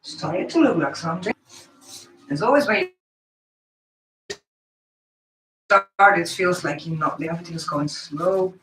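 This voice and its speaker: Dutch-accented female streamer, bright voice, casual painting chatter, echoey room. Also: fairly low pitch, unhurried, long pauses, distant mic. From Netherlands. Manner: chatty, thinks aloud while working, answers viewers. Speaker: live-stream host. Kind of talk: casual live-stream chat while painting.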